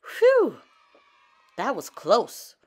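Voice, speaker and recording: A woman voicing an excitable, dramatic young male sea turtle in a storybook, exclaiming in an animated way. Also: on the high side, drawn-out words, voice pushed up, full character voice. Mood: relieved.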